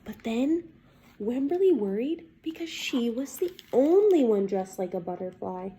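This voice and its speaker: A woman reading a children's picture book aloud as a teacher, expressive and deliberate, pausing to put questions to young listeners. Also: fairly high pitch.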